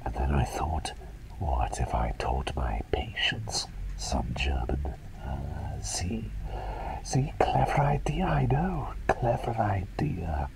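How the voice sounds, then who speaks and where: A man voicing an eccentric, whimsical plague doctor in a breathy ASMR whisper, playful, rambling and softly reassuring. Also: extremely low pitch, animated, takes it slowly, noisy background, full character voice. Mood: chatty, pleased. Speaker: ASMR performer. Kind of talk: whispered ASMR roleplay, personal attention.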